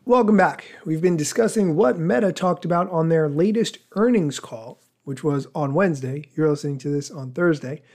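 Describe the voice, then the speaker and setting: A man with a voice on the higher side presents news as a podcast host. He follows a script, explaining points in a measured manner and setting off quotations formally, with some commentary of his own. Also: darkish tone.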